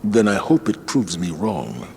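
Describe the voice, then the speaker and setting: An actor playing a grave, stern male military commander who speaks in a measured, authoritative way. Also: deep voice, big pitch swings, creaky, darkish tone, slight background noise, heavily acted.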